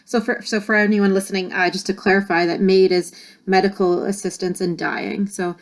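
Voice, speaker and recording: A woman with a mid-pitched voice hosts a podcast interview. She asks her questions in a friendly way, with plenty of filler words as she goes. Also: steady tone, moderate pace. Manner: friendly, uses many fillers. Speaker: podcast host. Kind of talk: interview hosting.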